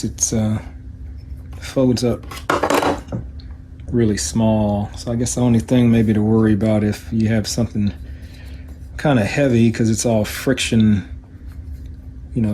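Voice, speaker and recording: A man with a fairly low, mellow and relaxed voice talks through a casual hands-on product demo. He is low-key and a little hesitant, speaking off the cuff. There is light background noise on the recording. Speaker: hobbyist product reviewer.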